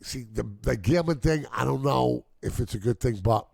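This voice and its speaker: A middle-aged man hosting a casual interview, eager and quick to cut in with short agreements. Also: medium pitch, vocal fry, darkish tone, husky, no echo, studio quality. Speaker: show host, interviewer.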